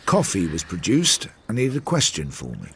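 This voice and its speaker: A man's voice: deep voice, huge pitch swings, fairly quick, gravelly, a little hiss or hum, heavily acted. An actor playing a Victorian London detective sergeant, narrating his investigation in a radio drama with a dry, clipped, understated manner. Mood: dry, reflective.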